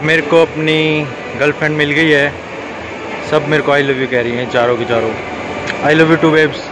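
A man's voice: a little high, fairly even intonation, moderate pace, music in the background, low-quality recording. A man in his twenties with a Haryanvi accent, wisecracking and teasing as he vlogs his way through a shopping mall on a handheld phone. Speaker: vlogger. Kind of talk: casual joking vlog commentary. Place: large indoor shopping mall.

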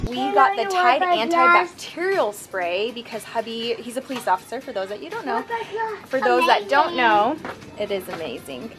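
A woman's voice: fairly high pitch, normal speed. A woman in her thirties chatting casually while she films her grocery shopping in a large store, reading out prices. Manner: chatty, animated, pricing items aloud. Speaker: family vlogger. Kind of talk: casual grocery haul vlog. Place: large store aisle indoors. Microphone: handheld camera at arm's length.

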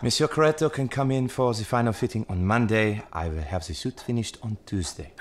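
An actor playing a patient, tactful tailor in Edwardian France, courteous and hesitant, in a period audio drama. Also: male voice, fairly low pitch, fairly quick, close to an everyday voice. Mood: courteous, businesslike. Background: faint music.

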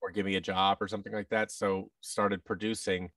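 American guy in his 30s, lowish voice, rambly interview chat, bit off the mic. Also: flat delivery, fairly quick, fairly bright tone, no room sound, far from the mic. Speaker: guest, actor and audio drama producer. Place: large indoor office room. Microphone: boom-arm microphone, headset, video call.

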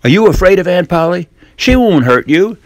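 Man playing a coaxing, sly storybook boy, high-pitched. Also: lively intonation, normal speed, dry recording, rough recording. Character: persuasive, sly young boy coaxing others.

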